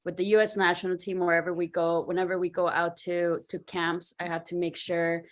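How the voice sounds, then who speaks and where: A woman raised in Mexico talks about her career on a video-call panel. Her voice sits low for a woman, and she speaks casually with frequent fillers. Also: flat delivery, fairly quick. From Mexico, Ciudad Juarez. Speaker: sports scientist, panel guest.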